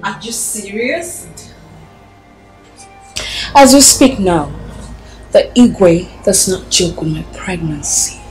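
A woman's voice: mid-range voice, lively intonation, slow pace, pauses often, darkish tone, soft background music, rough recording. An actress playing a pregnant woman who gushes and boasts. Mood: gushing. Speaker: pregnant woman in a palace drama.

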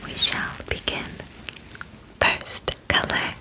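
A woman in her twenties playing a calm, clinical alien examiner in an ASMR roleplay, speaking formally and methodically close to the microphone. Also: close to an everyday voice, rough recording. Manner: slow, formal, gently methodical, precise wording. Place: small bedroom, indoors. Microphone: close microphone in front of camera.

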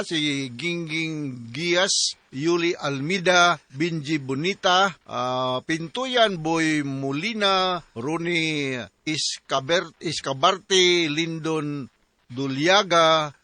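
Bright, higher-pitched Cebuano Filipino male radio host. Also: dry recording. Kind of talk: casual radio talk with listener greetings.

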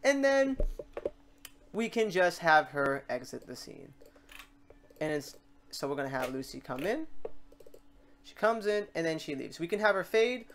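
A tutorial host talks through software steps in a voice of middling pitch. The delivery is informal and rambling, with the speaker thinking aloud while working on screen. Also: very animated, a little slow, long pauses, a little hiss or hum. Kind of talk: casual software tutorial narration. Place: voice-over for a screen recording.

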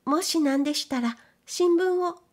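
Storybook role: timid, well-mannered young girl adult female reader, high light voice, eager to please. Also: normal speed, a little mellow. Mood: eager, polite. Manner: deferential, polite, light.

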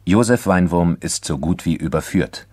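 Low male narrator reading true crime script, formal, measured, no room sound. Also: lively intonation, darkish tone, no echo.

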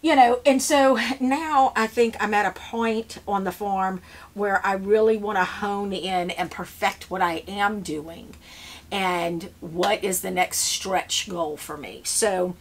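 A woman's voice: mid-range voice, takes it slowly, a little hiss or hum. A woman, chatting casually to the camera while she tends seedling trays in a seed-starting shed, rambling with lots of fillers and restarts. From Louisiana, US. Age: 50s. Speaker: flower farmer. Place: indoor seed-starting shed with grow shelves.

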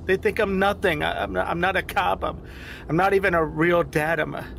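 An insecure, self-loathing cop, noisy background. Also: male voice, sits high, steady tone, gravelly, fairly bright tone. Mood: defeated. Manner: halting, repeating himself. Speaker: actor in a scripted audio drama. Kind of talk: naturalistic audio drama acting.

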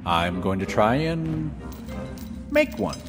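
A man plays a mischievous male adventurer with a swooping, unhurried delivery. He teases casually and confidently in a fantasy radio play, with long reverb around him. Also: mid-range voice, sing-song. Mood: playful. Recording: long hall reverb over music.

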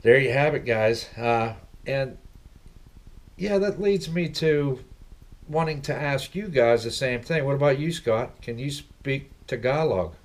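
A man's voice: medium pitch, lively intonation, unhurried. Chatty middle-aged man in a living room. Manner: chatty, rambling, asks many questions. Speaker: vlog host. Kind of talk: casual chat to viewers. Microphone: laptop webcam, seated at desk.

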